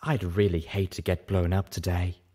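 A man voicing a refined, polite female unicorn with a smooth, warm voice in an audiobook. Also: deep voice, flat delivery, moderate pace. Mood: silky.

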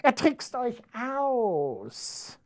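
A storyteller voicing a spiteful, scheming female cat in a children's storybook, with a sneering, drawn-out delivery. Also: mid-range voice, sing-song, pitched above the performer's own voice, exaggerated voice. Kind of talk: storybook character voice.

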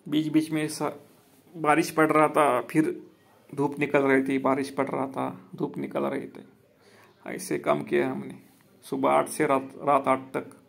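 Installer showing off his work: a man, even-toned, rough and gravelly, outdoors with a phone mic. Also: on the high side, unhurried. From Maharashtra, India. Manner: plain, repetitive, addresses viewers as friends. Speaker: solar installer and business owner. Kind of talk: walkthrough video commentary. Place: outdoor rooftop.

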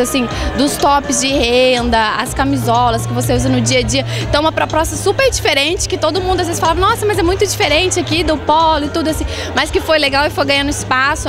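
A young woman talks chattily to a reporter at a trade fair, scattering small fillers. Her voice is high. Music plays clearly behind her. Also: fairly even intonation, quick pace, few pauses. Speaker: businesswoman interviewed. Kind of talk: casual interview. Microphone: handheld reporter mic.

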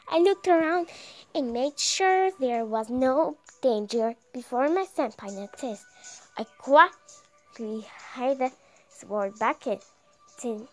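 A young woman reading a visual novel aloud, halting and stumbling over words as she narrates dramatically. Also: a little high. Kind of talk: dramatic read-aloud of game text. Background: music.

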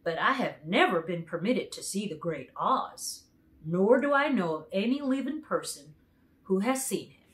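A woman voicing an emphatic, awed countryman who knows of a great wizard, in a deliberate storybook read-aloud. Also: mid-range voice, lively intonation, lightly acted, rough recording.

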